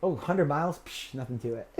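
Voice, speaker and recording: A man in his forties from Maine hosting a podcast, chatting casually in a rambling way that trails off softly, with frequent laughs. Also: fairly high pitch, lively intonation, normal speed. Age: 40s. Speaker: podcast host. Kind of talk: casual interview chat.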